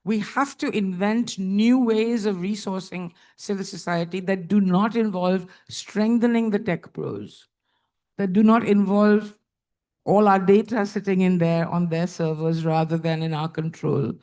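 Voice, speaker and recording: A woman from India speaks on a conference panel in a mid-pitched voice. She is earnest and thoughtful, and drops in fillers as she works through her points. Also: steady tone, unhurried, mellow. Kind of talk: panel discussion remarks.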